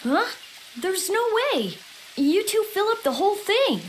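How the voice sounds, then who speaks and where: A woman's voice: very high-pitched, very animated, a little slow, a little hiss or hum, exaggerated voice, low-quality recording. A woman playing a proud, guarded exiled boy prince of about ten in an anime audio drama, haughty and introspective. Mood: flustered, grudging.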